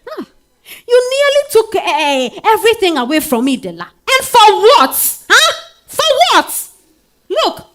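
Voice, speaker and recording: An actress with a Ghanaian accent playing a bitter, grieving betrayed wife in a staged dramatic monologue, full of sighs and exclamations. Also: female voice, very high-pitched, fairly bright tone, exaggerated voice. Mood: bitter, anguished. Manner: theatrical, exclamatory, with drawn-out sighs and interjections.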